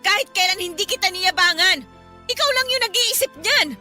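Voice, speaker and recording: A defiant Filipino wife with a very high, piercing voice. She scolds with heated, emphatic radio-melodrama emotion, over a music bed. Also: lively intonation, a little fast, pushed and bright, exaggerated voice. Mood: angry, reproachful. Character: fed-up, defiant wife and mother berating drunken husband.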